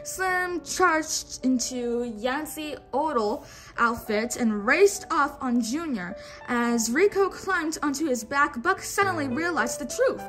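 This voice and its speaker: High-pitched woman reading to children, unhurried. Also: animated, pushed and bright, faint music behind, low-quality recording. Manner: teacherly, invites listeners to repeat words. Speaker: read-along host and storyteller for children. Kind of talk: children's storybook read-aloud with prompts to repeat words.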